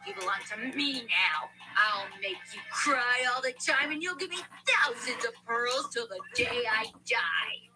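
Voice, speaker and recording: A woman's voice: high voice, lively intonation, a little slow, over music, poor audio. A woman voicing a cold, scheming male kidnapper and gang boss who gives threatening orders. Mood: angry orders.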